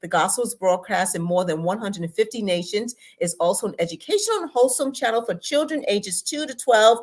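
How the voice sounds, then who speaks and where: A woman announcing a show with a measured, formal read. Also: on the high side. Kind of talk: scripted announcement.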